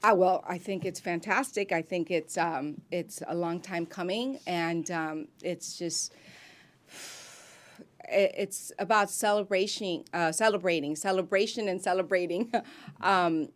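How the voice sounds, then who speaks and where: A woman who grew up in Miami, an actress guest chatting in an interview, warm and effusive, with frequent ums and restarts. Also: on the low side, a little slow. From grew up in Miami, United States. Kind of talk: casual interview chat.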